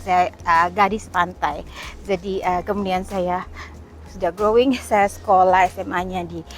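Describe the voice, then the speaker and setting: A woman's voice: on the low side, fairly even intonation, unhurried, faint music behind. A middle-aged woman from eastern Indonesia chatting casually as she walks along a beach, with frequent "eh" fillers and short laughs. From East Nusa Tenggara, eastern Indonesia. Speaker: travel vlogger. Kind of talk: casual walking vlog chat. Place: outdoors on a beach.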